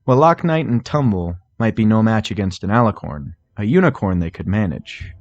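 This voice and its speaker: A man's voice: on the low side, lively intonation, brisk, dull audio. A man narrating scripted fan fiction as a dramatic storybook reading.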